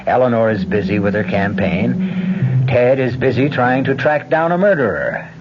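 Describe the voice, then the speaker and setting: A man's voice: medium pitch, expressive, moderate pace, loud music behind the voice. A man giving a suspenseful scripted introduction to a radio mystery, on a dull vintage recording. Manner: suspenseful storytelling. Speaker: episode narrator. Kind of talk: scripted dramatic narration.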